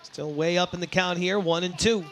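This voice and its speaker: A man doing live baseball play-by-play commentary as the announcer, who gets excitable and shouts on big plays. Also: sits high, normal speed, a little hiss or hum. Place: sports broadcast at a baseball game.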